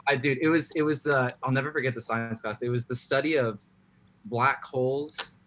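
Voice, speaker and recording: A man's voice: medium pitch, fairly even intonation, normal speed, fairly bright tone, slight background noise. A young man from Mexico City and Dallas, a guest in a casual interview over a video call, rambling with lots of fillers. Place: home room.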